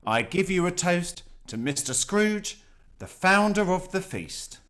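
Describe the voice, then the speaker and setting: Man acting a slow, gentle Victorian father. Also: sits high, sing-song, heavily acted. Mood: mild, conciliatory. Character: gentle, devout, conciliatory Victorian father Bob Cratchit. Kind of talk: amateur radio-play acting.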